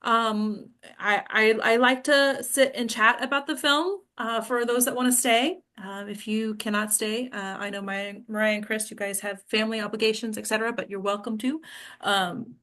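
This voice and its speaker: A woman hosting a casual group discussion, speaking in a halting way with many fillers. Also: a little high, fairly even intonation, pushed and bright. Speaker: host leading a discussion.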